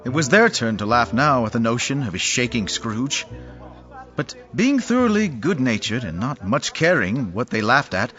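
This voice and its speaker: A man's voice: medium pitch, very animated, normal speed, a bit bright. A man narrating a dramatised story in a wry, theatrical manner. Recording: dull audio with music behind.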